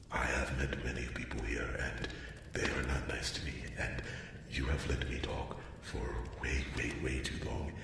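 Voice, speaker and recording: Man voicing a very deep, gravelly rambling dwarf blacksmith. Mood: wistful, grateful. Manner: rambling, hesitant, stammering, earnest. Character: lonely, rambling, awkward male dwarf blacksmith, eager to befriend. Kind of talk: ASMR fantasy roleplay monologue. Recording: echoey, distant, noisy with clicks.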